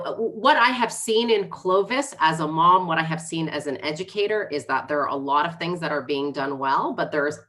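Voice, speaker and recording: A woman's voice: deep voice, fairly bright tone. A woman in her forties who learned English as a second language, introducing herself earnestly as a candidate in a livestream interview. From born in Egypt, raised in Canada and the United States. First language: not English, learned it as a second language. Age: 40s. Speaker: city council candidate.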